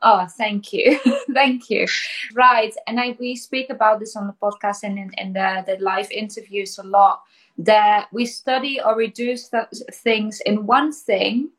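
A woman hosting a podcast interview, upbeat and encouraging, speaking clearly for learners. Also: mid-range voice, steady tone, unhurried, low-quality recording. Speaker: podcast host.

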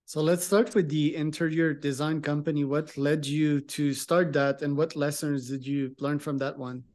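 A man's voice: on the high side, flat delivery, normal speed, darkish tone. A man hosting a podcast, casually introducing a guest and asking interview questions.

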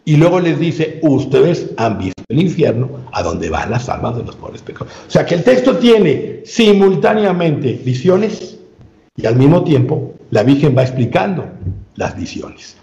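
A man speaking as an interview guest, giving a long measured explanation with frequent filler sounds and restarts. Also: fairly high pitch, big pitch swings, dark tone. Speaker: guest researcher. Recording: roomy, dull lo-fi sound.

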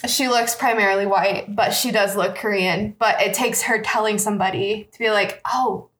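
A woman's voice: medium pitch, fairly even intonation, normal speed, fairly bright tone, poor audio. A woman talking conversationally in a group discussion, telling personal stories and acting out bits of dialogue. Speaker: panel discussion participant.

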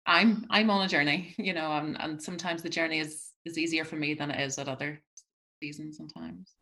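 A middle-aged woman, speaking as an interview guest in a reflective, halting way with many restarts. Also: low-pitched, fairly even intonation, pushed and bright. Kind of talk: conversational interview.

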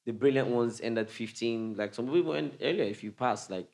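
Male host, easygoing banter, mid-pitched. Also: brisk, creaky. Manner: lively hype-man openings, easy banter. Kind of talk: casual interview chat.

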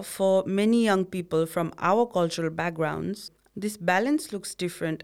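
A young woman from a Bhutanese refugee background who grew up in Nepal, speaking in a measured, reflective, read-aloud way about identity. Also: on the low side, a little mellow, clean tone. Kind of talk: scripted conversation.

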